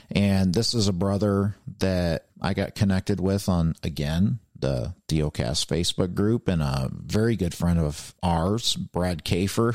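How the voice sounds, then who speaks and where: Deep, earnest podcast host. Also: male voice, darkish tone, no echo, clean studio sound. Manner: heartfelt, calls his guest "brother".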